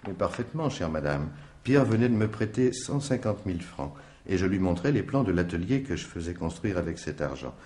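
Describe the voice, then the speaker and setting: An actor playing a polite, quietly insistent male stranger in a French radio mystery in 1950s style, measured and formal. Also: deep voice, sing-song, husky, full character voice. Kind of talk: French radio drama acting in 1950s manner.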